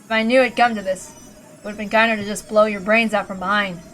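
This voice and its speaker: A villainous uncle from a visual novel, played by a woman. He talks casually and calmly, joking with mock kindness while being utterly ruthless. Faint music plays behind him. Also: medium pitch, quick pace, natural voice. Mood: cold, casual. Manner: casual, calm, mockingly kind. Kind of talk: dramatic visual novel character reading.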